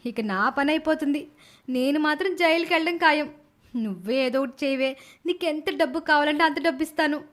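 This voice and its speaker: A woman voicing a scheming, desperate young woman in an animated moral story, with a dramatic, urgent delivery. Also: high-pitched, a little fast, close to an everyday voice. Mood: urgent confessing.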